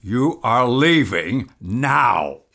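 A woman playing an agitated, torn partner in an audio drama, with a husky voice and halting, urgent delivery. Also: rumbling low, sing-song, fairly bright tone, heavily acted. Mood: tense, anguished. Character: agitated armed partner named Pete, desperate and torn.

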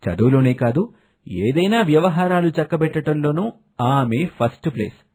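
A man's voice: fairly high pitch, darkish tone, a little hiss or hum. A man narrating a scripted moral story as a storyteller.

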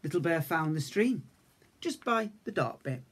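Male infant teacher, fifties, English accent, reading to small children with lively, varied intonation. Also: fairly high pitch, moderate pace, slightly rough. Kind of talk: children's story read-aloud. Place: indoors, room at home.

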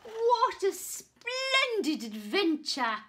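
A woman voicing a bold, hearty male knight from a storybook, exclaiming with drawn-out emphasis. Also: very high-pitched, sing-song, pushed and bright, a little hiss or hum, voice pushed up, heavily acted. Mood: triumphant.

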